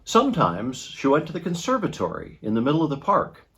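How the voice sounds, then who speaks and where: A man reading a children's picture book aloud in an expressive storytelling style. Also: mid-range voice, fairly quick.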